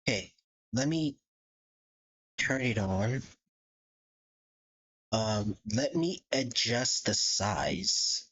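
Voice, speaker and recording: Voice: medium pitch, animated, very slow, pauses often, a bit bright, slightly rough, highs cut off. A live streamer chatting casually in a rambling, giggly way and swearing freely. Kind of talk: casual livestream chat.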